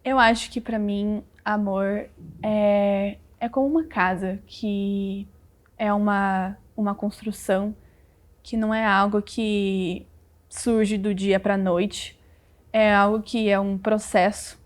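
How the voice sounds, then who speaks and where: A twenty-year-old woman with a mid-pitched voice gives interview answers. She speaks reflectively and pauses often as she searches for the right words. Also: flat delivery.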